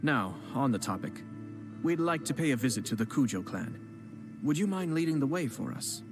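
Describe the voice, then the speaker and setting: A composed young noble clan head, formal and measured, with a quiet teasing wit. His voice sits at an ordinary middle pitch, and game music plays clearly behind him. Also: sing-song. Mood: courteous calm. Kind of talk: game voice acting.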